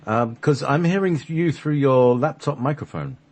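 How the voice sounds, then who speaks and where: A man with a husky voice talking casually and off the cuff as a show host. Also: mid-range voice, moderate pace, a little mellow. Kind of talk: casual unscripted talk.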